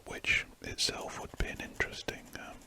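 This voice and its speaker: An ASMR drawing host chatting in a soft, unvoiced whisper close to the mic, rambling and correcting themselves while drawing. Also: normal speed.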